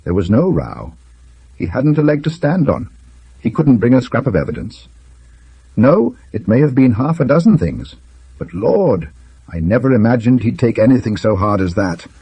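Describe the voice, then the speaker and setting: Man acting a touchy, gloomy British gentleman. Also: mid-range voice, sing-song, moderate pace, vocal fry, slightly rough, no echo, pitched above the performer's own voice, heavily acted. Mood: angry, defensive.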